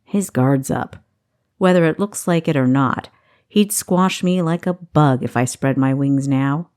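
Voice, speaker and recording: A woman voicing a cocky, smooth-talking young male thief in a fantasy story, sly and boastful. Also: deep voice, a little slow, darkish tone, pitched below the performer's own voice. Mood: wary.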